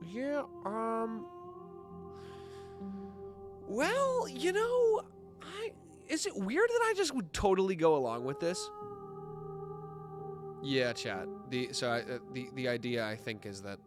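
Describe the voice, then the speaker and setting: Male streamer, young adult: casual, rambling game commentary, very lively pitch swings, music behind. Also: slow, long pauses. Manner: sweary, rambling, animated. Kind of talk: casual live gameplay commentary.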